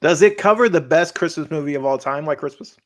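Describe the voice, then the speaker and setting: A man's voice: a little high, fast. A man co-hosting a podcast, chatting casually like an enthusiastic fan, with emphatic delivery. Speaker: podcast co-host.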